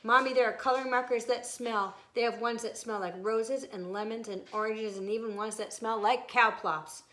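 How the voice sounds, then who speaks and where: A woman's voice: on the high side, steady tone, normal speed, sharp tone. A woman voicing an eager, pleading little girl in a storybook, with emphatic delivery.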